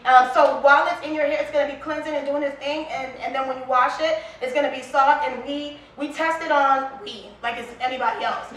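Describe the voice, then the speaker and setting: A woman's voice: high-pitched, a little fast, hardly any pauses, very echoey, recorded from a distance, low-quality recording. A woman from Liberia, a brand founder, talking casually and animatedly about her hair products. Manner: chatty, animated, with restarts and quoted asides. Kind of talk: casual interview chat.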